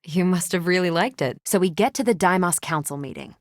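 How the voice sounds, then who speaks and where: An actress playing a confident, wry superhero and ex-military pilot in a video game, speaking with dry, casual self-assurance. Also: female voice, on the low side, a little fast, no echo, natural voice. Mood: candid, steady. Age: adult. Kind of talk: video game voice acting, conversational dialogue.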